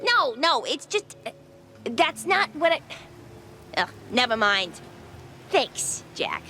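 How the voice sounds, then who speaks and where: High-pitched young cartoon mouse. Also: female voice, very animated, pushed and bright, slight background noise, heavily acted. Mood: awkward disappointment. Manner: halting, drawn-out. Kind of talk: animated noir game acting.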